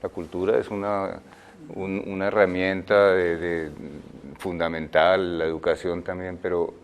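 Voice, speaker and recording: An older man, a film director answering interview questions in a thoughtful, halting way. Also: sits low, flat delivery, smooth. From Colombia. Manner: thoughtful, halting, many fillers and restarts.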